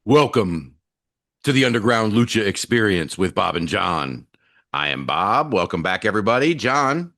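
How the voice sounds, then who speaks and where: A man's voice: lowish voice, sing-song, moderate pace, a bit bright. A man co-hosting a wrestling podcast in casual, chummy chat with some mild swearing. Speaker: podcast co-host.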